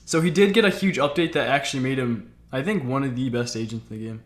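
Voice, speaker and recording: A man with a mid-pitched voice talks informally as a streamer. His delivery is laid-back and conversational, circling back over his phrases as he explains things. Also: quick pace. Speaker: streamer, host. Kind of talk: casual gaming chat.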